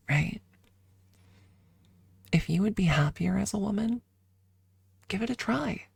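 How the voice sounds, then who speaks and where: A woman streaming ASMR, chatting casually and haltingly in a soft, breathy voice that often drops into a whisper, close to the microphone. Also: on the low side, no echo. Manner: halting, informal, drifting between whisper and soft talk.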